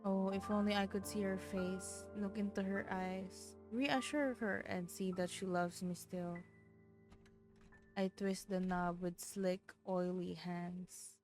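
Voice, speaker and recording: A woman game streamer performing a visual novel in a theatrical, expressive way. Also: medium pitch, takes it slowly. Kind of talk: dramatic reading of visual novel narration with casual reactions. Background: faint game music.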